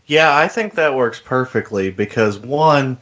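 Male co-host, medium pitch, conversational film talk, recorded a bit muffled and lo-fi. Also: expressive, unhurried, fairly bright tone. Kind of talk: casual film discussion.

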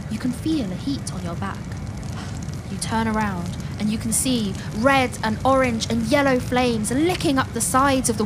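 A woman narrating a children's audio play as a storyteller, expressive and full of wonder, addressing listeners directly. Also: on the high side, background noise. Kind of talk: dramatic scripted children's storytelling. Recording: loud music and effects behind.

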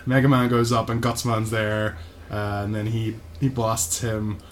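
A man's voice: on the low side, little pitch movement, a little slow, slight background noise. A man co-hosting a podcast, casually recapping an episode in a mocking, sweary way and often checking in with "right?".

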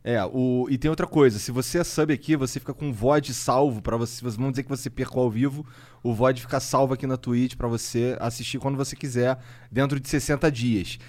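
A man's voice: medium pitch, steady tone, fairly quick, darkish tone. A man from Brazil co-hosting a podcast, chatting casually and tossing in joking asides. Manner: laid-back, joking asides. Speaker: podcast co-host.

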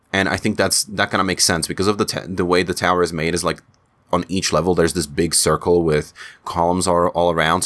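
Man, very low voice, casual game recap, rambling, restarts mid-word. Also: fairly bright tone. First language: Czech. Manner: rambling, searches for words, frequent restarts. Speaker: video game commentator.

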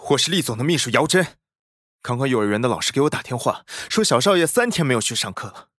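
A man's voice: medium pitch, sing-song, fast, fairly bright tone, no room sound. Composed male secretary, close dry sound. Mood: urgent, stern. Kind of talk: Chinese audio drama acting.

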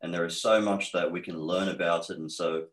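A man's voice: deep voice, fairly even intonation, a little fast, roomy sound. A man in his thirties with an Australian accent, a guest in a podcast interview, talking in an earnest, upbeat way with many fillers and restarts. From Australia, born in Egypt. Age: 30s.

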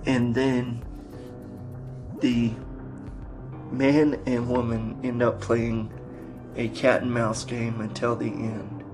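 A man hosting a podcast and introducing episodes, speaking haltingly in short broken phrases. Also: medium pitch, flat delivery, music in the background. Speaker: podcast host.

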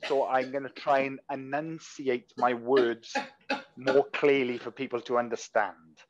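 Welsh male co-host, quick clipped delivery, casual and blunt, slightly muffled lo-fi recording. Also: medium pitch, level tone, crowd noise. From Wales. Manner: quick, clipped speech, swears freely. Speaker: podcast co-host. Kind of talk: casual chat. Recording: slightly dull lo-fi sound.

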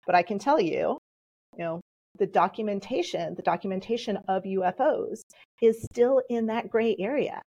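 A woman whose first language was Polish speaks in a measured, explanatory way as a podcast guest. Her voice sits at a middle pitch for a woman. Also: dark tone, lo-fi sound, a little hiss or hum. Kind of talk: relaxed interview answers.

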